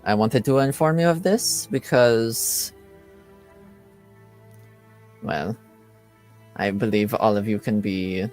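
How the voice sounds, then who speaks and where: A man playing a composed, formal informant in a tabletop roleplaying game, speaking in measured phrases with hesitant pauses. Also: mid-range voice, lightly acted. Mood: polite, businesslike. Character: formal, composed informant with cool professional detachment. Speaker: game master.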